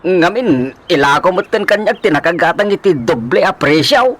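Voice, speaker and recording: A man's voice: high voice, animated, moderate pace, a bit bright. An actor playing a remorseful man who lost his family and house, pleading and stammering in a radio drama. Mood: regretful. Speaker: lead character. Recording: faint background noise.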